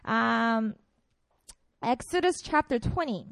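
A woman's voice: on the high side, lively intonation, slow, gravelly, a bit bright, no room sound. A woman in her twenties from Korea, a pastor preaching a casual, playful sermon to students. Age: 20s. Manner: chatty and playful, frequent fillers.

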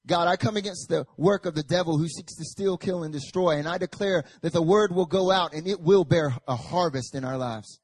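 Raspy-voiced preacher man, casual then fervent. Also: a little high, a little fast, hardly any pauses, no echo. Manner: casual and joking, rising to loud fervent Scripture reading and prayer. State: hoarse, recovering from a chest infection. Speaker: pastor. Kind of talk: sermon. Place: church service.

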